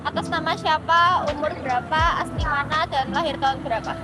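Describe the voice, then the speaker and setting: A woman's voice: high voice, fairly even intonation, fairly quick, over loud music. A teenage young woman, doing an informal street interview as a student. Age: teens.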